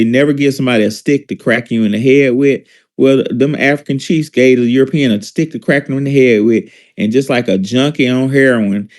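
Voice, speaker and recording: A man's voice: medium pitch, darkish tone. A man from Alabama, hosting a casual commentary show with blunt, emphatic delivery and profane asides. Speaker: host.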